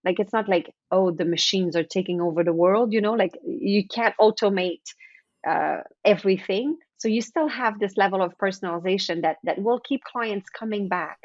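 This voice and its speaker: A woman's voice: mid-range voice, normal speed, darkish tone, no room sound, dull audio. A woman whose first language is French, chatting as a guest author in a casual interview, often restarting her sentences and acting out her own thoughts. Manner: chatty, with frequent restarts and acted-out inner thoughts.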